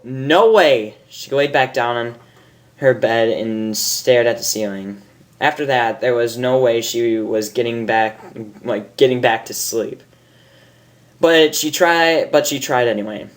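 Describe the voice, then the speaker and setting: Man informally narrating a fan story. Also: mid-range voice. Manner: amused, stumbling over words. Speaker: fan fiction reader.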